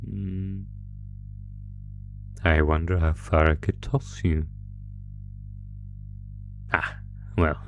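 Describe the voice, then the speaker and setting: A man's voice: low voice, fairly even intonation, extremely slow, gravelly, long pauses, highs cut off, soft background music, full character voice. A man voicing an ancient, possessive monster captor in a horror roleplay, gloating with menacing, mock-tender teasing. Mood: cold menace. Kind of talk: horror roleplay audio acting.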